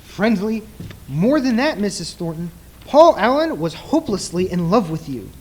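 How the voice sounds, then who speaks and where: A man playing an earnest, grave nightclub mentalist in an old-time radio play, speaking formally and deliberately in a husky voice. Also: high voice, sing-song, background noise. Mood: solemn.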